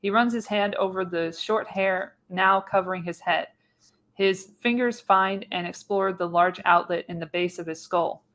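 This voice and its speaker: A woman's voice: fairly low pitch, normal speed, a bit bright. A woman narrating the stage directions of a screenplay in a radio play reading. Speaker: narrator, podcast host.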